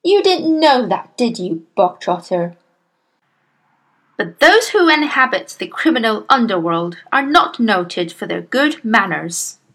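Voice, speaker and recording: A woman's voice: medium pitch, big pitch swings, measured pace, a bit bright, heavily acted, low-quality recording. A woman voicing a tyrannical, bullying, contemptuous headmistress from a children's storybook, with a theatrical, emphatic delivery. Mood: mock friendly, then imperious. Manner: theatrical barking, venomous, emphatic insults. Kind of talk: children's storybook character reading.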